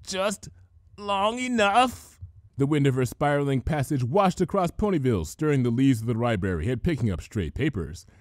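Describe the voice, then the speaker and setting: A man reading a comic adventure story aloud as an expressive scripted narration. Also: mid-range voice, no room sound, clean studio sound. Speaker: fan fiction reader, narrator.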